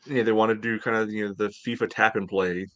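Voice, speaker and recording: Middle-aged american guy, lowish voice, casual sports podcast chat on a video call. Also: steady tone, brisk, a bit bright, muffled recording. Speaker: podcast co-host. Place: home room, on a video call.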